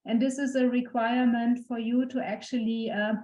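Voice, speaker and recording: A woman co-presenting a university webinar, explaining things informally and pausing mid-sentence. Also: fairly high pitch, flat delivery, mellow. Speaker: university program representative co-presenting. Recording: roomy, dull online webinar audio.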